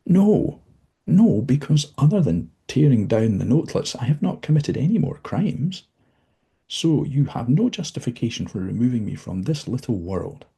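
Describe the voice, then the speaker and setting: A man's voice: mid-range voice, big pitch swings, gravelly, dark tone, husky, voice pushed up, heavily acted. A man voicing a frightened young female fallen angel who pleads and stammers. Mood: pleading. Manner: begging, halting and breathless.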